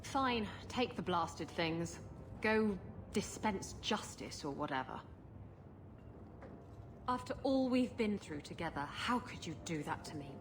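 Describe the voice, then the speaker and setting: A woman's voice: fairly low pitch, animated, measured pace. Brash female pirate rogue, noisy backdrop. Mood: exasperated. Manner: sassy, cheeky. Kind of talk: video game voice acting.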